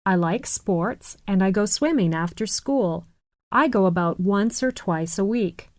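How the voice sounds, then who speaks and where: A woman reading scripted self-introductions for a listening exercise, slow and carefully enunciated. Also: medium pitch, animated, no room sound.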